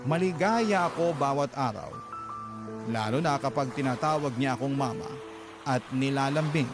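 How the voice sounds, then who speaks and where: A man's voice: medium pitch, normal speed, lightly acted. A man narrating, in the first person, the letter of a young mother betrayed by a married lover. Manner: confessional, earnest. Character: heartbroken young mother recounting her story. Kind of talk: radio drama letter narration. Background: music.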